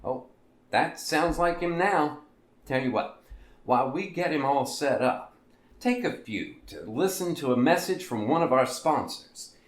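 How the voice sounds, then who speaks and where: In a roomy, echoing space, a man plays a quirky show host for an audio drama. His pitch swings freely as he wisecracks in a folksy, theatrical way. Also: expressive, a little slow. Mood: playful, hyped. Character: quirky, jokey male host of a weird-tales show. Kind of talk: scripted podcast drama in a radio-show format.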